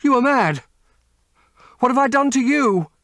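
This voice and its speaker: A man playing a terrified young gentleman. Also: expressive, unhurried, no echo, exaggerated voice. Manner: high-pitched gasping pleas.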